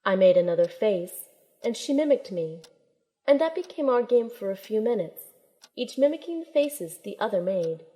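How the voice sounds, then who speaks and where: A woman's voice: mid-range voice, very animated, moderate pace, mellow, lightly acted. A woman playing a plucky, kind young shaman heroine who narrates her own story in an audio drama. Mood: tense, storytelling. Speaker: narrator and protagonist. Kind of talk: first-person audio drama narration and acting.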